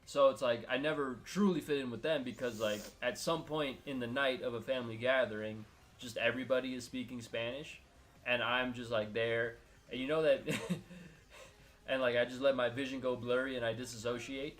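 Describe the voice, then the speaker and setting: A young man from Miami talks casually on his show with a voice of middling pitch. He rambles freely, full of filler words and restarts as he tells a personal story. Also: fairly even intonation, moderate pace, a bit bright. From Miami, United States. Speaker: co-host. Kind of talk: casual chat.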